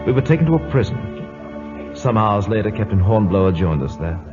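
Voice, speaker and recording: Loyal wounded officer, low pitch, grave measured storytelling, old radio play, dull recording. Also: male voice, sing-song, over music. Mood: grave recounting. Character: loyal, stoic wounded naval officer recounting his story. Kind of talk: old-time radio drama narration and acting.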